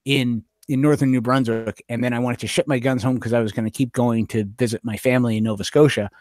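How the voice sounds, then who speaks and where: A Canadian man with a mid-pitched voice speaks close and dry as a podcast co-host. He talks casually, pausing and hedging as he thinks things through aloud. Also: fairly even intonation, darkish tone, no echo.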